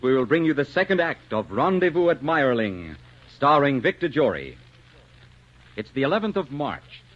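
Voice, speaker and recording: Animated vintage male radio announcer, muffled. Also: mid-range voice. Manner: emphatic, polished salesmanship. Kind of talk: 1940s radio announcing, scripted sales pitch.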